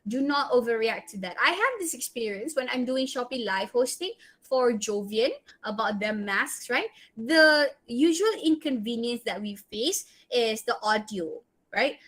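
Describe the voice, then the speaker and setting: A woman with a Malaysian accent coaches an audience on public speaking, her voice sitting a little high. She gives direct, practical advice and keeps checking in with tag questions like "right?" and "okay?". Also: lively intonation, measured pace, few pauses, a bit bright. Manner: tags sentences with "right?" and "okay?". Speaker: guest speaker. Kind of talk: public speaking workshop talk.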